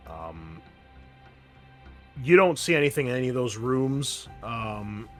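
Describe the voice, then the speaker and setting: A man's voice: mid-range voice, very animated, slow pace, faint music behind. A man narrating a tabletop roleplaying game as game master in a casual, loose way. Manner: casual, profane.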